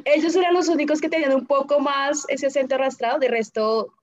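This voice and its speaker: A woman with a fairly high voice chimes in on a film chat, agreeing and adding short remarks. The sound is dull and lo-fi. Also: steady tone, a little fast. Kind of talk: casual film review chat.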